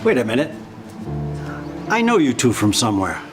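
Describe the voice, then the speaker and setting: An actor playing a hostile, bigoted bakery boss in a moralistic web drama, confrontational and barking orders. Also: male voice, mid-range voice, huge pitch swings, moderate pace, music in the background. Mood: hostile, bullying.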